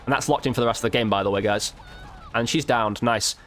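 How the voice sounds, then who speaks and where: A man's voice: medium pitch, fast, a bit bright, slight background noise. A man giving casual, slangy gameplay commentary as a video creator, thinking aloud as he goes.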